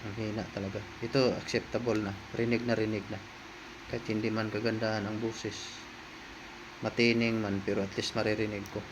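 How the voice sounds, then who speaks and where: Low, flat-toned Filipino man, casual vlogger. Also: brisk, noisy background. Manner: informal, repetitive, talking to viewers as he handles items. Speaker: vlogger, product reviewer. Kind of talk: casual unboxing and hands-on review.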